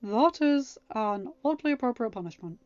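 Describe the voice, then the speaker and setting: A woman's voice: a little high, expressive, moderate pace, a little mellow, no room sound, lo-fi sound, soft background music, voice pushed up, lightly acted. A woman voicing a kind but firm young king in a storybook, with a courteous, decisive and formal manner. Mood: musing.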